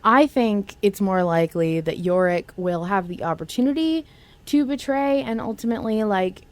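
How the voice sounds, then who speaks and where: A woman's voice: mid-range voice, expressive, moderate pace. A woman co-hosting a podcast, chatting in an informal, enthusiastic way and swearing freely. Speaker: podcast co-host. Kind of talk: casual podcast chat about an audio drama.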